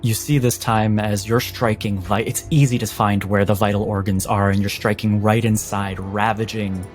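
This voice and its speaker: A man narrating a tabletop horror game as game master, deliberate and suspenseful, often breaking his phrases for drama. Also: on the low side, steady tone. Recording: music behind the voice.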